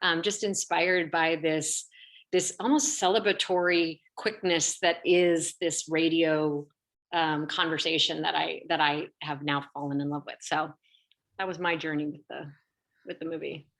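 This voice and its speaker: A woman chatting casually as a panel guest, telling things in an expressive, animated way. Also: sits low, unhurried.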